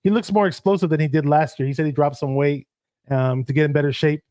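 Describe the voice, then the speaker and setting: A man whose first language is Arabic, co-hosting a casual sports livestream and shouting out viewers in the chat. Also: on the high side, fairly even intonation, quick pace, no room sound, clean studio sound. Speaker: podcast co-host.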